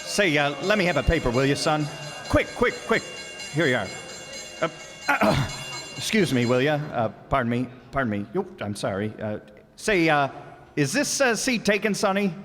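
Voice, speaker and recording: A man's voice: fairly high pitch, big pitch swings, moderate pace, music in the background. A man voicing a harried, put-upon salesman husband who grumbles and wisecracks in a live radio play. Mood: flustered. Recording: live stage with hall reverb.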